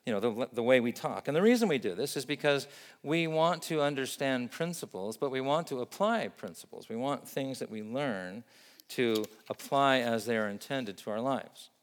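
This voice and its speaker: Male pastor, grew up in Vietnam; steady, earnest preaching, middle pitch, recorded in an echoing church hall. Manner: earnest, measured preaching with emphatic lists. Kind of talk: sermon.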